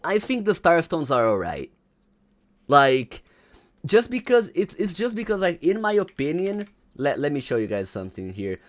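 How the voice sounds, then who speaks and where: Man, young adult, livestream host, animated, very lively pitch. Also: normal speed. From Brazil. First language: Portuguese. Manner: animated, slangy, chats with viewers. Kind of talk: casual livestream commentary.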